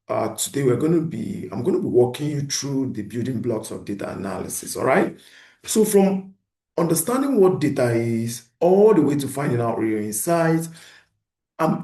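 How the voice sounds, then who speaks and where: A man's voice: mid-range voice, roomy sound. A man lecturing beginners, often asking a question and then answering it himself. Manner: animated teacher who poses questions and answers them himself. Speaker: instructor.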